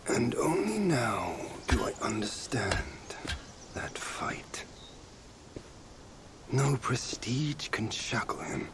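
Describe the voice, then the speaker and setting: An actor playing a solemn, weary mythic warrior in a video game, grave, breathy and measured. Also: male voice, mid-range voice, big pitch swings, in a whisper. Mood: solemn, resigned. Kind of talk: dramatic video game acting. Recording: noisy ambience over the voice.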